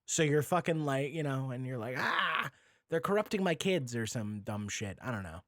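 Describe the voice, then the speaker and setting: A man hosting a casual podcast, talking in an animated, rambling way and swearing freely. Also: mid-range voice, normal speed, no room sound, clean studio sound. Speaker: podcast host.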